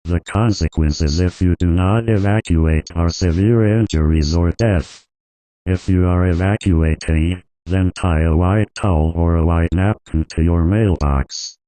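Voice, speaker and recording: A man reading a scripted emergency broadcast alert in a flat, formal, measured and authoritative manner. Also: sits low, dry recording, rough recording.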